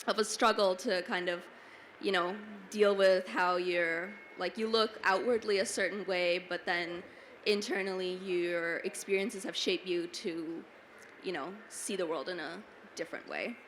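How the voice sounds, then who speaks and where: A woman's voice: fairly low pitch, fairly even intonation, a little slow, a bit bright, slight background noise. A young adult woman from Toronto, speaking casually on a panel with lots of "like" and "you know". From Toronto, Canada. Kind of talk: panel discussion answer. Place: book fair panel stage.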